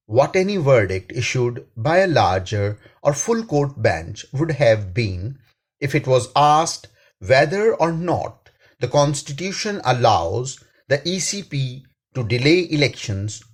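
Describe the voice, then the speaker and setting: Male reader, Pakistani accent. Measured and formal editorial read, husky tone, lively rise and fall. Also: medium pitch. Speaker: reader, attorney and law professor. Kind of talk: scripted read-aloud of a newspaper editorial.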